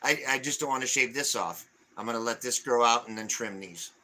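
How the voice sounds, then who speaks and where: A man in his forties co-hosting a casual live stream, reading viewer comments aloud in a halting way with lots of fillers. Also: medium pitch, sharp tone. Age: 40s.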